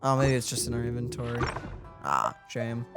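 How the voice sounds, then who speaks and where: A man's voice: medium pitch, big pitch swings, normal speed, over music. A man streaming a casual, playful, joking reaction to a video game.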